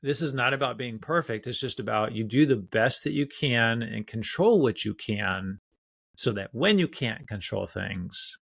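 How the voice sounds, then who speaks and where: A man's voice: mid-range voice, animated, unhurried, gravelly, a bit bright, no echo, clean studio sound. A man co-hosting a health show as a doctor, chatting casually and informally about advice for listeners.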